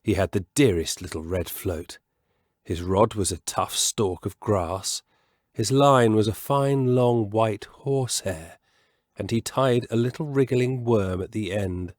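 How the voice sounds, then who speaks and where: Male storyteller, lowish pitch, measured expressive children's story reading, savoured words, dry close sound. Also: dry recording.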